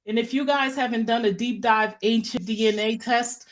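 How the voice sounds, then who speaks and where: A woman hosts a live online talk show in a chatty, upbeat way, speaking straight to her viewers. Her voice sits slightly high. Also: steady tone, normal speed. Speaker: host.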